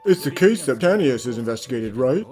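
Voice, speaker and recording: A man voicing a curious, gossipy ordinary police officer in an animated fan dub, speaking conversationally. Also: mid-range voice, brisk, soft background music, lightly acted.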